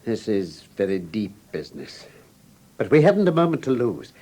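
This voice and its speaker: An actor playing a shrewd, drily witty gentleman detective with precise, urbane Received Pronunciation, in a classic radio drama. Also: on the low side, big pitch swings, normal speed. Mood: grave, probing. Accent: English Received Pronunciation.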